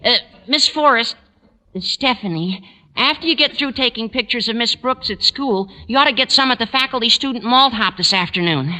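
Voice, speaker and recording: An actor playing an eager, awkward, lovestruck high school boy with a squeaky adolescent voice in a 1940s radio sitcom. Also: animated, moderate pace, bright, forceful tone, full character voice. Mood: eager chatter. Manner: gushing, hesitant, earnest. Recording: old 1940s radio broadcast.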